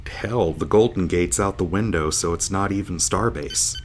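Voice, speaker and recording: A man acting as a low-voiced, dazed starship officer in a sci-fi audio drama. He is blunt and sardonic, still groggy from stasis, with clear noise behind him. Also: moderate pace, lightly acted. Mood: confused, blunt. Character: dazed, wisecracking male starship officer waking from long stasis.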